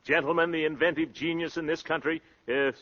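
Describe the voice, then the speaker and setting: An actor playing a brash, boastful young suitor in a 1930s radio comedy, talking in pompous, florid slang. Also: male voice, fairly high pitch, fairly even intonation, brisk, bright, forceful tone. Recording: dull, lo-fi 1930s radio recording.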